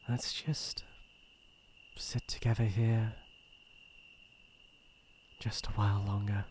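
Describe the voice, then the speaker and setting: A man voicing a shy young prince in love, speaking softly and hesitantly with a British accent in a romantic ASMR roleplay. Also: on the low side, flat delivery, a little hiss or hum. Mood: tender, wistful. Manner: soft, slow, hesitant, intimate.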